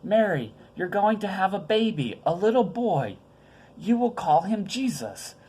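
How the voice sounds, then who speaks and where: A woman's voice: mid-range voice, very animated, a little slow, warm tone, breathy edge, voice pushed up. A woman voicing a tall, glad male archangel in a storybook, reassuring and proclaiming his news.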